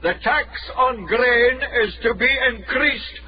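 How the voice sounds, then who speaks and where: An actor playing a town crier bawling an official proclamation in a loud, drawn-out, declamatory way for a radio drama. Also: male voice, very high-pitched, dull audio, crowd noise.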